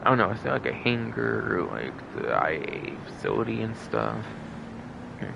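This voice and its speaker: A man's voice: on the low side, big pitch swings, normal speed, creaky, dull audio. A man giving casual, rambling livestream commentary on a game, often repeating himself, in a noisy recording. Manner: rambling, repeats stock phrases over and over. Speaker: livestream host.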